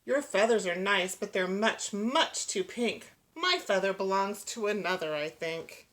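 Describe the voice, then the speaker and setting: An earnest young adventurer from a children's storybook , voiced by a woman reading aloud. The speech is polite and expressive, in bouncy rhyme. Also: mid-range voice, unhurried. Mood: polite, declining.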